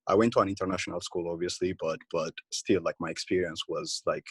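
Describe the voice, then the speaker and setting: Guy from ethiopia, low gravelly voice, keeps restarting and saying like and you know. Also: steady tone, fairly bright tone, no echo. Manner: halting, many fillers and restarts. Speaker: participant. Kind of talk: casual group discussion.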